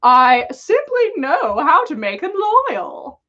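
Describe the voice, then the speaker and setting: A woman voicing a sheepish, seductive male dark wizard villain in a solemn, flirtatious manner for a fan fiction reading. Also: high voice, wildly swooping pitch, slow pace, muffled recording, pitched above the performer's own voice, exaggerated voice.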